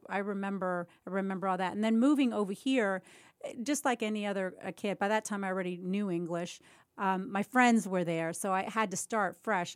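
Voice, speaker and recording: A woman's voice: medium pitch, no echo, studio quality. A woman in her forties, a native Spanish speaker from Cuba, chatting about her past with frequent restarts and laughs. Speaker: interviewee, former pro football cheerleader. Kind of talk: casual conversational storytelling.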